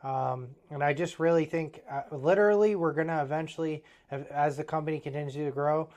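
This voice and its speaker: A man's voice: fairly high pitch, brisk, darkish tone. A man in his thirties with an Eastern Pennsylvania accent who owns a plumbing business, talking bluntly and motivationally with lots of fillers. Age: 30s. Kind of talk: casual business talk.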